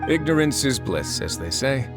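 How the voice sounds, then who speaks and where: A man's voice: medium pitch, very animated, moderate pace, music in the background, close to an everyday voice. A man playing an easygoing, self-assured prison administrator in a video game, with a wry, casual delivery. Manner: wry, casual, lightly teasing.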